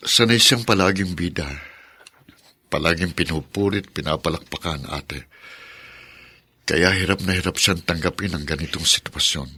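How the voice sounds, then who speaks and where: A man's voice: deep voice, moderate pace, pauses often, sharp tone, slightly rough, heavily acted. An actor playing an earnest, burdened husband and protective father in a Filipino radio drama, with a pleading manner. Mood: subdued, apologetic.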